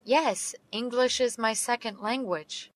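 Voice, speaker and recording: Female, slow deliberate delivery, clear diction, dry studio sound. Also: on the high side, no echo. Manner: slow and carefully enunciated. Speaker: English teacher reading dialogue. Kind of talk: slow read-aloud English practice phrases.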